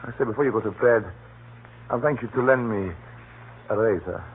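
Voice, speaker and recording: Hunted informant on tinny old radio. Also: male voice, lowish voice, moderate pace, breathy edge. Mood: weary, polite. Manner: secretive, earnest, nervously insistent. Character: hunted, fearful little man, a spy-hunting informant. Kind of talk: 1930s radio drama acting. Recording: muffled, noisy 1930s radio recording. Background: faint hiss and noise.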